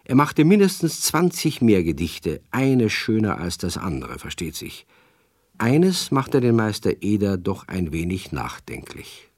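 A man narrating a children's radio play as a measured, expressive storyteller reading a script. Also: fairly low pitch, slightly rough, no echo, clean studio sound.

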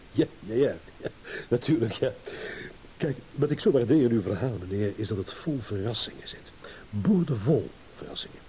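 Guy doing a smooth ironic businessman in a radio play, mellow voice, slight hiss behind it. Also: medium pitch, lively intonation, close to an everyday voice. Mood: amused, ironic. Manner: smooth, ironic, measured with deliberate pauses. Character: suave, evasive businessman and ladies' man, athletic. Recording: hissy old radio play recording.

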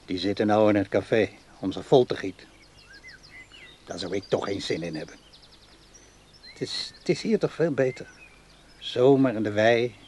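A man acting in a radio play as an insistent, needy old man who talks haltingly to a much younger woman. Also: medium pitch, darkish tone. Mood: eager, chatty. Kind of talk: naturalistic radio play dialogue.

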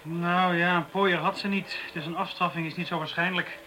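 A man's voice: high voice, fairly even intonation, moderate pace, pushed and bright. A man playing a wry, matter-of-fact police detective in a naturalistic radio drama. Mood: wry, skeptical. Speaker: actor in a radio play. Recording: roomy, distant, noisy radio play recording.

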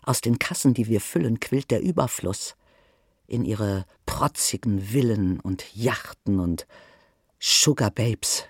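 A narrator performing a scripted literary monologue in a theatrical, expressive manner. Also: medium pitch, takes it slowly, slightly rough, no room sound, clean studio sound.

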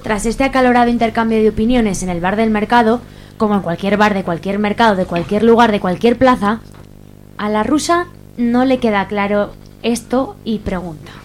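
A woman's voice: mid-range voice, moderate pace, slight background noise. A woman reading scripted narration for a radio soap, with music behind her. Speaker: narrator of a community radio serial.

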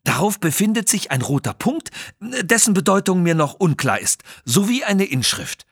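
A man voicing a nervous, excitable museum scholar who talks in a breathless, flustered gush for an audio story. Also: high-pitched, moderate pace, gravelly, husky, no room sound, clean studio sound, pitched above the performer's own voice, heavily acted. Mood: eager.

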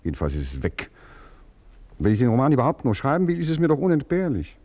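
An actor playing a novelist husband in a radio play, fussy and animated, then soothing his wife. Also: mid-range voice, fast talker. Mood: fretful.

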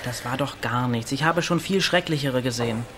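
A man's voice: mid-range voice, brisk, a bit bright, natural voice. A man playing a casual, teasing teenage village boy in a naturalistic radio drama, with an offhand, colloquial delivery.

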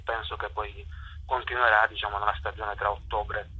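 A man in a casual phone interview, speaking thoughtfully and pausing between phrases. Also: fairly low pitch, flat delivery, bright, forceful tone, a little hiss or hum. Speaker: stage performer, interview guest. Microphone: telephone. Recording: dull, band-limited phone line.

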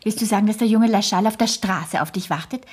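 A woman's voice: medium pitch, quick pace, a little mellow, husky, natural voice. An actress playing a haughty elderly society lady in a radio play, imperious and affected, with theatrical languor. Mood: stern, imperious. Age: older. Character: grand, haughty old Parisian society lady with migraine. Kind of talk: 1970s radio play acting.

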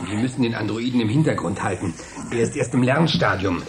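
Actor as brisk male ringleader giving instructions, medium voice, noisy old radio play. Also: mid-range voice, animated, natural voice. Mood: decisive. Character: decisive, scheming young male group leader. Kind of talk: radio drama acting.

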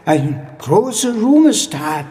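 An actor playing a reverent, wary old Chinese man who keeps a shrine in a radio drama, speaking in halting phrases. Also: sits high, big pitch swings, warm tone, exaggerated voice. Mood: reverent, hesitant. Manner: halting, hesitant short phrases. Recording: long hall reverb, faint noise.

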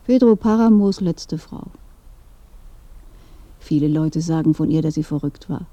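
A woman's voice: deep voice, measured pace, no room sound. An actress playing a dead old woman in a radio play, chatty, knowing and inquisitive. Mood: gossipy. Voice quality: dark, creaky. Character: dead old woman, curious gossip in a grave. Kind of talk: radio drama dialogue.

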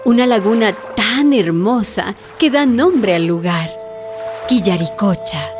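A woman's voice: medium pitch, expressive, slow, music in the background. A woman reading scripted storytelling narration for a radio drama.

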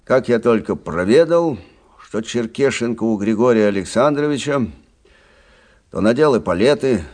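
A man's voice: medium pitch, expressive, normal speed, a little mellow, close to an everyday voice. An actor playing an older army captain who recounts his memories conversationally in a Russian radio drama. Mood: reminiscing. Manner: conversational, reminiscing storytelling.

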